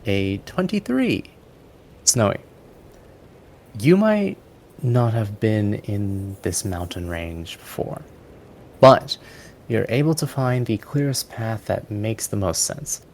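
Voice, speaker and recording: A man tells the story as game master in a low, creaky voice. There is faint noise and rumble behind him. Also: sing-song, slow, pauses often, a little mellow. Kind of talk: storytelling narration of a tabletop game.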